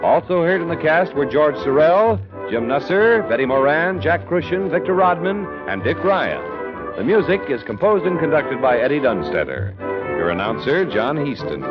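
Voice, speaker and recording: A man's voice: a little high, sing-song, moderate pace. An American man delivering scripted announcements in a 1940s radio style. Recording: dull vintage radio recording. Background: music.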